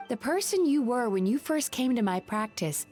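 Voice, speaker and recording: Earnest, measured therapist character, fairly high voice, gently firm, soft game music underneath. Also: female voice, very animated. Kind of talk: video game voice acting.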